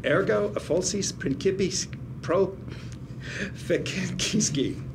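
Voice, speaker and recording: A man's voice: high-pitched, slow. A man acting a proud, principled ailing attorney and older brother at a drama table read, arguing in a precise, lecturing way. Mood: insistent, argumentative. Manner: precise, didactic, lawyerly argument. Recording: clearly noisy room recording.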